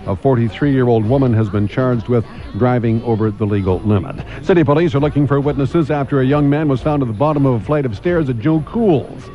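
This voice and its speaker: A man's voice: mid-range voice, fairly quick, hardly any pauses, lo-fi sound. A man with a Canadian accent anchoring a morning radio newscast in a conversational, punchy style with clipped phrases.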